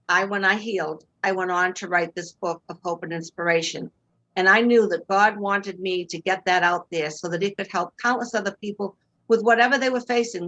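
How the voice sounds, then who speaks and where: A woman's voice: medium pitch, a bit bright, muffled recording. Earnest Boston-accented older woman. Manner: earnest, faith-filled storytelling. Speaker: guest. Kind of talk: personal testimony in interview. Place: home room indoors. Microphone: video call webcam.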